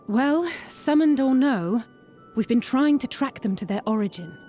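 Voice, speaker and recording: A woman's voice: high-pitched, expressive, unhurried, mellow, faint music behind. A woman acting a matter-of-fact young scout in a video game cutscene.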